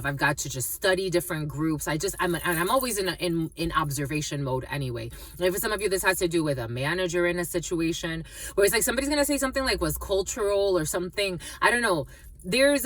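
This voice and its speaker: Rambling woman tarot reader, low voice. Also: fairly bright tone, no echo, distant mic. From family from the Dominican Republic. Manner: rambling, many fillers and restarts. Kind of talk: casual spiritual reading monologue.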